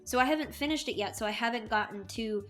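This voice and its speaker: High-voiced, enthusiastic woman reviewing books. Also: fast talker, fairly bright tone, faint music behind. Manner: enthusiastic, emphatic. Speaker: co-host of a book review show. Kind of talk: casual chatty book review.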